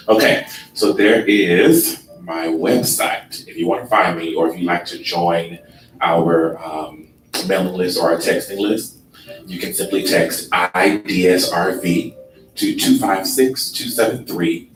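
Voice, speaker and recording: A man giving a relaxed workshop presentation in a conference room, with a sleepy, laid-back, unhurried delivery full of ums. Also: sits low. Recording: echoey room from far off with noise.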